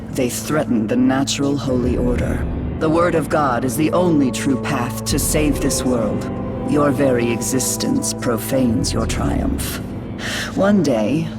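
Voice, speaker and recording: A lofty, stern angel commander in a game cutscene. She speaks very slowly and solemnly, like one passing judgment. Game music plays clearly beneath her. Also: rumbling low. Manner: slow, solemn, proclaiming, judgmental.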